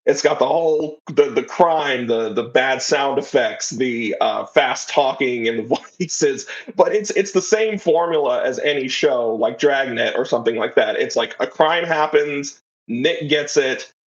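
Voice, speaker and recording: A man speaks in a medium-pitched voice with a casual, conversational delivery. He explains at length, wandering enthusiastically from point to point as an interview guest. The recording is a little dull and lo-fi. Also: unhurried. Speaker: interview guest, writer of an audio drama.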